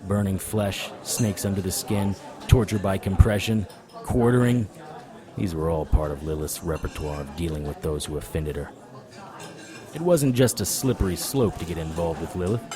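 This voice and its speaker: A man's voice: sits low, normal speed, music in the background, close to an everyday voice. An actor playing a hard-bitten male monster hunter, narrating noir-style in first person with dry, wry delivery.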